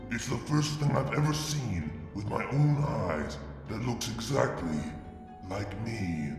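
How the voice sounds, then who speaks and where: A man reads a story in a slow, gravelly voice with lively, rising and falling pitch. His reading is measured and dramatic. The room is echoey, with a long reverb and faint music underneath. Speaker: fanfiction reader. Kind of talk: dramatic first-person story narration.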